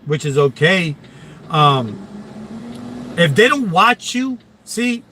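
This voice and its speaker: A man's voice: on the high side, sing-song, slow, bright, forceful tone, slight background noise. A man with a Philadelphia accent on a live stream, talking casually and emphatically, repeating himself and restarting sentences. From Philadelphia, USA. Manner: emphatic and repetitive, many restarts.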